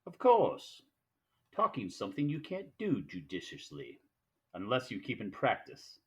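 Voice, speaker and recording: An actor playing a genial, cunning, courtly fat crime boss in a 1940s-style radio play, with a pompous, wordy manner. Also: male voice, on the low side, huge pitch swings, measured pace. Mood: jovial, courtly. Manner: pompous, theatrical, elaborately formal.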